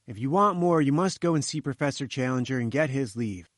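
A man's voice: medium pitch, animated, fairly quick, dry recording, studio quality, natural voice. A man voicing a confident male journalist who speaks in a breezy, firm way.

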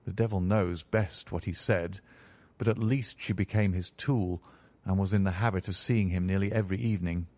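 A man doing a stern, calm detective. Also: low voice, moderate pace, no room sound, pitched below the performer's own voice, lightly acted. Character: stern, calm male detective, measured and assured.

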